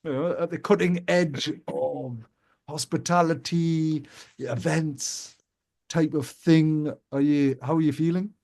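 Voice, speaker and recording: A man hosting a live business radio show, chatting in an animated way with many restarts and quick questions. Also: fairly high pitch, vocal fry. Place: radio studio.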